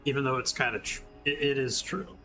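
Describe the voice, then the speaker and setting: A man's voice: mid-range voice, fairly quick, pushed and bright, soft background music, low-quality recording. A man giving casual, wry and sarcastic livestream commentary on a game. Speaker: game streamer.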